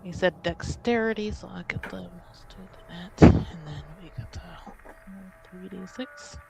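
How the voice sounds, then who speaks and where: A tabletop roleplaying player improvising casually in character, halting at times and easily given to giggles. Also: medium pitch, creaky, noisy background. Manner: giggly, breaks into laughter.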